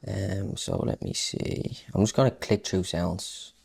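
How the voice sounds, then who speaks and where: A man's voice: low-pitched, sing-song, a little slow, creaky, darkish tone, husky. A man giving a casual music production tutorial, talking informally and thinking aloud as he works. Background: electronic house music.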